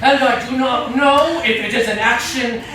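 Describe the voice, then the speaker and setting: A woman's voice: on the high side, fairly even intonation, natural voice. A woman playing an insistent, tormented figure, declaiming emphatically in an avant-garde theatrical style. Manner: measured, emphatic, theatrical delivery. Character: insistent, tormented declaimer railing against suffocation. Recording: very echoey stage, far off, noisy.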